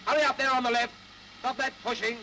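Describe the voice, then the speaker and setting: An actor playing a British drill corporal shouting parade commands, in a 1940s film. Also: male voice, very high-pitched, steady tone, fast talker, rough recording. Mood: ordering. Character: loud male drill corporal. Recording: hissy 1940s film soundtrack.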